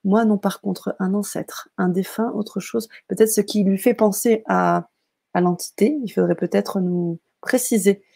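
Woman host reading chat comments, gravelly low-key voice, lots of euh. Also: fairly even intonation, measured pace, dry recording, clean studio sound. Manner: warm, enthusiastic, hesitant filler-heavy reading. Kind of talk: hosting a live stream, reading viewer comments.

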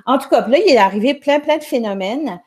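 A woman in her forties with a Québécois French accent, speaking in a somewhat high voice. She tells a long personal story in a chatty, rambling way, full of restarts. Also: animated, quick pace, warm tone, slightly rough. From Quebec. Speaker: guest, music teacher. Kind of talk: casual storytelling on a live show.

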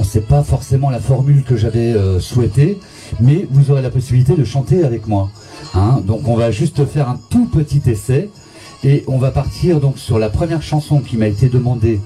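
A man's voice: medium pitch, dark tone. A man hosting a live radio karaoke show, chatting to listeners and introducing their song requests. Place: radio studio. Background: karaoke music.

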